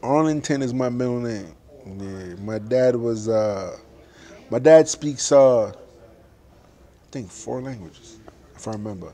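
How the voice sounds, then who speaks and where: A man's voice: mid-range voice, measured pace, pauses often, dark tone. A young adult man, a boxer, talking animatedly and emphatically in a casual interview, laughing often.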